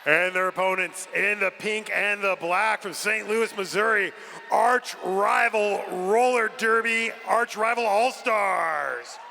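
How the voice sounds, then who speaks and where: High, gravelly male arena announcer in echoing hall. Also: bright, forceful tone. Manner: measured, drawn-out announcing. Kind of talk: team roster introduction over a PA. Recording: reverberant hall PA with some noise.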